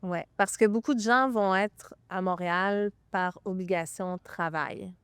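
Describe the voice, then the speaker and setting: A woman from Quebec with a Québécois French accent, a real estate broker chatting enthusiastically as a podcast guest, often pausing on fillers. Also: mid-range voice, clean tone, no room sound. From Quebec (Magog). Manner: enthusiastic, talks with lots of hesitation fillers. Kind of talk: casual interview chat.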